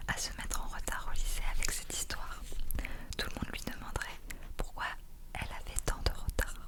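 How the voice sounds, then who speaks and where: Whispering woman reading a story. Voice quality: breathy unvoiced whisper. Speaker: ASMR creator reading a book aloud.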